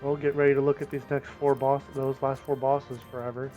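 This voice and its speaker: Guy on game chat, mid pitched, jokey with his raid buddies, music in the back. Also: little pitch movement, a little fast, dark tone, highs cut off. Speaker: teammate in an online raid. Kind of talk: casual gaming chat.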